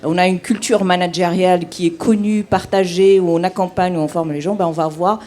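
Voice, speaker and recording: A woman's voice: fairly low pitch, fairly even intonation, fairly quick, mellow. A woman participant explaining a point in a workshop, trailing off at the end. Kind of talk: spontaneous discussion.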